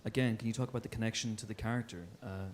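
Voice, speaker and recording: A man's voice: on the low side, steady tone, very fast, slight background noise. A man working as an interviewer, putting conversational questions to his guests.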